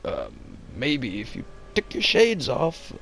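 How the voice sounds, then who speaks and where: A man's voice: medium pitch, wildly swooping pitch, slow pace, vocal fry, fairly bright tone, a little hiss or hum, full character voice. A man voicing an anxious, stubborn archaeologist with a hesitant manner in an amateur motion comic. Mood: nervous. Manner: hesitant, stammering. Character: anxious, stubborn male archaeologist, desperate for funding.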